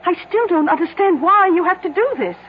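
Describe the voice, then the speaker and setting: A woman's voice: extremely high pitch, expressive, a little fast, a little hiss or hum. An actress with an American accent playing an earnest, plucky small-town woman in an old-time radio drama, on a narrow, dated recording. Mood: tense resolve.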